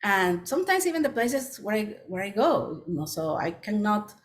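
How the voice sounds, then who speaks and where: A middle-aged woman children's author from Peru, with a Peruvian Spanish accent, giving a presentation with many pauses and restarts. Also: medium pitch, big pitch swings. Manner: frequent ums and restarts, earnest. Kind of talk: presentation talk.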